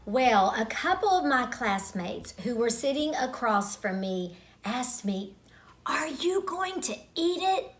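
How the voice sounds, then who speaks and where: Middle aged lady doing storytime for kids, talks really slow n expressive, voice a bit on the high side. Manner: deliberate, expressive, dramatic pauses and hushed asides. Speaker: children's storyteller. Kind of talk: storytelling for children, personal childhood tale.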